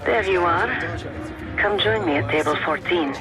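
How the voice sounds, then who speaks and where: A man's voice: high-pitched, normal speed, over music, lightly acted. A man playing a confident covert handler in a video game, briefing an agent in an assured, instructing way. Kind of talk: video game cutscene acting.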